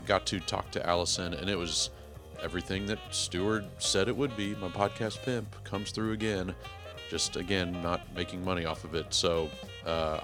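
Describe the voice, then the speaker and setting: A man in his forties hosting a podcast, giving a rambling, self-deprecating intro with emphatic, clearly enunciated speech. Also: low voice. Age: 40s. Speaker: podcast host. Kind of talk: casual podcast intro monologue.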